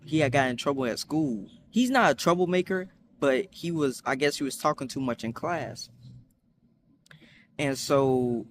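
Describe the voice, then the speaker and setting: A young man chatting casually in a storytime vlog, rambling with many fillers and restarts, acting out quotes in higher voices. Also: a little hiss or hum. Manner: acts out quotes with high voices. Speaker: vlogger.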